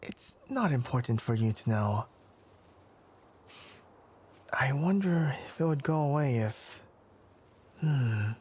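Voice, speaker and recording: Man voicing a young fox-eared forest scholar, anime ASMR roleplay, flustered, polite, halting, unhurried, husky and breathy, half-whispered. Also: medium pitch, lively intonation, a little mellow. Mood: embarrassed, strained. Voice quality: breathy, often slipping into whispers. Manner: hesitant, stammering, politely formal.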